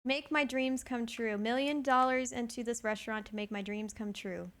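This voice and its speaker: A woman's voice: on the high side, fairly even intonation, normal speed, a bit bright. A woman hosting a casual reaction stream, chatting and giggling often with a friend on a call. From Southern United States. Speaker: VTuber reaction host.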